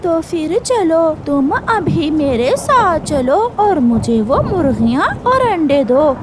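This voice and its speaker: A woman's voice: high voice, very animated, takes it slowly, noisy background, full character voice. A woman voicing a greedy, hungry female eagle in a cartoon, demanding and threatening. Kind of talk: Hindi cartoon character dialogue.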